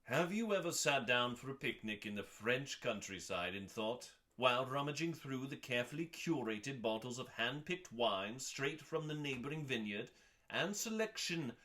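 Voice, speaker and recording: Slow, pompous man reading with theatrical flair. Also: medium pitch, sharp tone. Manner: pompous, mock-serious, with long pauses and drawn-out emphasis. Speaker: host reading aloud from a cookbook. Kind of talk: dramatic, theatrical scripted reading.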